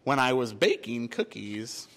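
A man's voice: mid-range voice, sing-song, unhurried, fairly bright tone, voice pushed down, full character voice. A man voicing a timid little boy who whimpers and cries out, in a children's story reading. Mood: cheerful. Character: timid little boy, nervous then brave.

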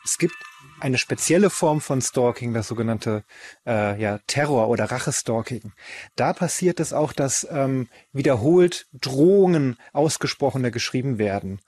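A man's voice: mid-range voice, expressive, moderate pace, a little mellow, dry recording. Matter-of-fact male expert, dry close sound. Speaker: expert commentator on stalking. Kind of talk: explanatory interview.

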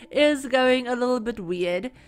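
A woman recording a casual, rambling voiceover for a home-building game video, her voice a little croaky from being sick. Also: fairly high pitch, lively intonation, slow pace, soft background music. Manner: rambling, chatty, many fillers. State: croaky from illness. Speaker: Sims build creator. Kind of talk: casual voiceover over gameplay.

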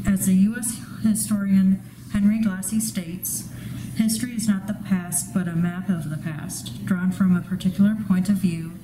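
A woman's voice: medium pitch, moderate pace. Woman reading evenly in an echoey room. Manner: careful, even reading with small restarts. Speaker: photography student. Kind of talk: reading an artist statement. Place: indoor art gallery. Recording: echoey room with steady background noise.

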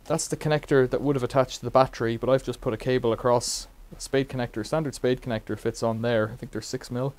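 A man's voice: mid-range voice, fast talker, hardly any pauses. Chatty man in a bike workshop. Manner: relaxed, rambling, wry asides. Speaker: bike repair vlogger. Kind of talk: casual how-to demonstration. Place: cluttered bike workshop indoors.

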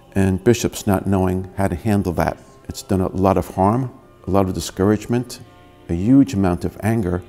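A man's voice: low voice, gravelly, warm tone, long reverb tail. A man speaking earnestly and urgently in a documentary interview.

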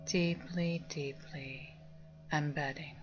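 A woman leading a guided meditation with soft, breathy, near-whispered, calming instructions. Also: low-pitched, takes it slowly. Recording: dull lo-fi sound under music. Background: meditation music with singing bowls.

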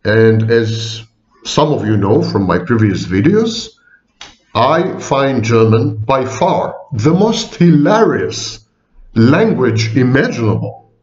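A man's voice: mid-range voice, lively intonation, slow pace, darkish tone. A man of sixty, a psychology professor, giving a wry, digressive informal lecture to viewers, often repeating and correcting himself. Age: 60s. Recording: echoey room, dull lo-fi sound.

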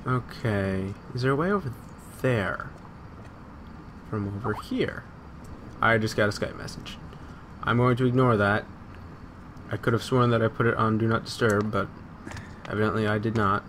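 Male let's-player, lowish voice, casual self-muttering with plenty of swearing, faint game noise behind. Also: normal speed, long pauses. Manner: muttering to himself, sweary, repetitive. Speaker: gamer recording a let's play. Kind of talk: casual gameplay commentary.

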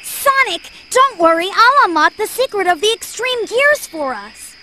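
A woman's voice: extremely high pitch, big pitch swings, measured pace, bright, forceful tone, a little hiss or hum, full character voice, poor audio. A woman voicing an earnest, eager young boy fox mechanic with a childlike voice in a video game. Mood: earnest resolve.

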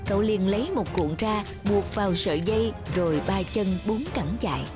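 A woman's voice: medium pitch, moderate pace. A woman narrator reading a scripted fairy tale as storytelling. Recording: music behind the voice.

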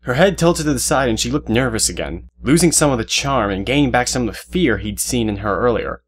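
A man's voice: mid-range voice, lively intonation, a little fast. A man reading scripted narration for an audio drama as the storyteller. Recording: music behind the voice.

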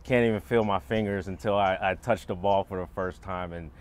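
A man's voice: sits low, fairly even intonation, brisk, slight background noise. A man, a football player, casually recounting his experience in an interview.

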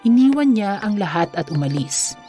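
A woman's voice: mid-range voice, big pitch swings, moderate pace, dark tone. A woman reading scripted fairy tale narration for children. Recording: music under the voice. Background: background music.

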